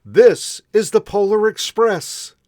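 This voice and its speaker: A hearty train conductor who calls out loud and bold, all brisk command, as a man puts him on while reading a storybook. He bellows in a dry, close sound and swings his voice up and down with each call. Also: high-pitched, lively intonation, no room sound, pitched above the performer's own voice.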